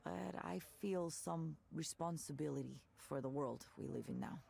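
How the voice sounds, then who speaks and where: A woman's voice: low voice, moderate pace, creaky, mellow, slight background noise. A woman playing a candid, world-weary former tech worker in a visual novel, speaking in a wry, reflective way. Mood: weary, cynical. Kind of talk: naturalistic visual novel voice acting.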